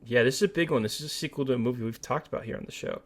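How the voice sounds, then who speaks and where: A man with a middle-pitched voice co-hosts a casual podcast. He talks in a blunt, slangy way, as if chatting with a friend. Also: very fast. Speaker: podcast co-host. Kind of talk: casual film discussion chat.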